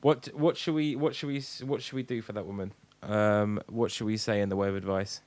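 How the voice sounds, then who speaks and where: A man's voice: lowish voice, fairly even intonation, fairly quick, darkish tone, no echo. A man co-hosting a comedy podcast, rambling and swearing casually while drunk. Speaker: podcast co-host.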